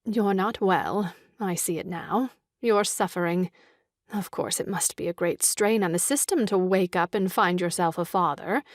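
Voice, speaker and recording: An actress playing a brisk, earnest and slightly superior young woman in a theatrical audio farce. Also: lowish voice, no echo, clean studio sound, lightly acted. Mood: solicitous. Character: brisk, opinionated young Englishwoman, sister of new father.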